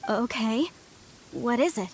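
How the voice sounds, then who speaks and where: An earnest, high-voiced young researcher. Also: female voice, lively intonation, takes it slowly, slight background noise. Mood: unsure, curious. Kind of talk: English video game voice acting.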